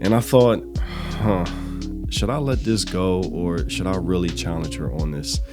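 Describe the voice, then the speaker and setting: A man with a cold, his voice raspy and dry, telling a story in a casual commentary to his channel's viewers. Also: low voice, unhurried. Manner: conversational, recounting a dialogue. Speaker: channel host. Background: music.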